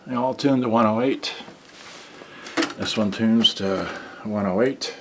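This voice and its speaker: A man giving a casual, unscripted demonstration as a repairer, halting and muttering his thoughts aloud as he handles gear. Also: fairly low pitch. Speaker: repairer demonstrating cassette players. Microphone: camera on tripod at some distance. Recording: roomy, distant mic, loud background noise.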